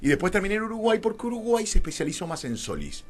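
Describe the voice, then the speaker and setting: Argentine man, very animated storyteller. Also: sits high, fast, faint music behind. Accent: Rioplatense Argentine Spanish. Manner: wry, theatrical storyteller acting out remembered dialogue. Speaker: interview guest, filmmaker. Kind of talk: conversational anecdote telling on a radio show. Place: radio studio.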